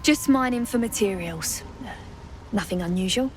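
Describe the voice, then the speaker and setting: An actress playing an injured, earnest dwarf artificer in a fantasy game, blunt and weary in manner. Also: female voice, medium pitch, normal speed, slight background noise, natural voice, low-quality recording. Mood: urgent, guarded.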